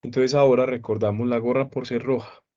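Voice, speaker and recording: A male psychology teacher giving an online class lecture, explaining slowly and often checking in with students. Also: mid-range voice, fairly even intonation, mellow, muffled recording.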